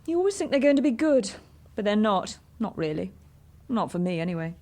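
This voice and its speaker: A bored young Englishwoman in a radio drama. She is blunt and sulky, with a dark mellow voice that rises and dips expressively. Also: mid-range voice, brisk. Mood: sullen. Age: young adult. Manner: flat-worded, blunt, sulky. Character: sullen, bored, self-destructive young English daughter. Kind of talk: British radio drama acting.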